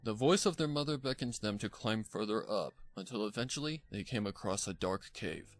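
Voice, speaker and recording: A man's voice: on the low side, lively intonation, moderate pace, faint music behind. A man reading a scripted story aloud as its narrator. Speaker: fan fiction narrator.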